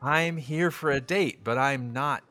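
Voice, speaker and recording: A man's voice: medium pitch, measured pace, sharp tone. An actor playing an awkward, evasive young man on a blind date in an audio drama comedy, hesitant, stammering and apologetic. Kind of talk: audio drama acting, romantic comedy dialogue.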